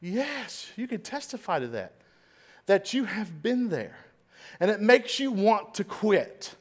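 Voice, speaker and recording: A man preaching a sermon in a church, dramatic and swinging between loud and hushed, with a slight stutter at times. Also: sits high, sing-song, takes it slowly. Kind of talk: sermon storytelling. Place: church stage.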